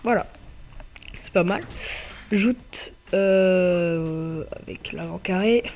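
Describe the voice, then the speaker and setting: A man's voice: high voice, a little mellow, slight background noise. A man with a slight cold giving casual, hesitant commentary over his own gameplay as channel host. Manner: hesitant, many filler pauses.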